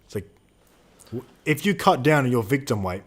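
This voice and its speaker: A man's voice: medium pitch, big pitch swings, normal speed, slightly rough. A man in his twenties co-hosting a live Q&A stream, chatting casually and reading out viewer questions. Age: 20s. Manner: casual slangy, swears, reads out chat questions.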